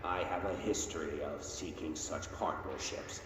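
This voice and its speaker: An actor playing an arrogant, self-important male doctor who dictates research notes in a deliberate, pompous way for a video game. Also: very deep voice, steady tone. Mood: grandiose. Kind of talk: scripted video game monologue, audio log. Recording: echoey hall, long reverb, loud noise.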